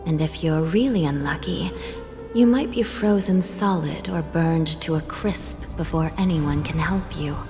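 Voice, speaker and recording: A young female judge of the dead in a video game. She speaks slowly and formally, with solemn courtesy and a wistful, ominous edge. Her voice echoes in a long hall with clear music behind it. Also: low-pitched. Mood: grave warning. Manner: polite, measured, formal, ominous. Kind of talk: scripted video game dialogue.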